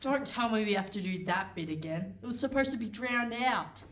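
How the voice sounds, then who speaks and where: A woman playing a brisk, impatient narrator in a comic radio play. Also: mid-range voice, lively intonation, slight background noise, lightly acted. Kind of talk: comic scripted narration before live audience.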